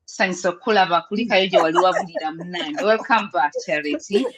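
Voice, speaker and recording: A woman's voice: fairly low pitch, quick pace, crowd noise. A woman from Uganda with a Ugandan accent hosting a live online panel over a video call, upbeat, laughing often and switching between English and Luganda. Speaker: host.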